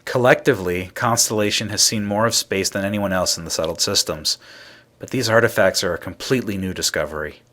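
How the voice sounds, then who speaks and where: A man playing a high-minded space explorer in a video game, speaking in a measured, formal way. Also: a bit bright. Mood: principled. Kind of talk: scripted video game voice acting.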